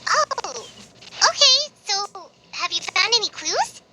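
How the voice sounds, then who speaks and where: A woman voicing a tiny, childlike fairy companion in a video game, chatty, nosy and excitable, with a squeaky voice. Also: slow pace, heavy background noise, full character voice. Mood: curious, surprised. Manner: speaks of herself in third person. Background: game music.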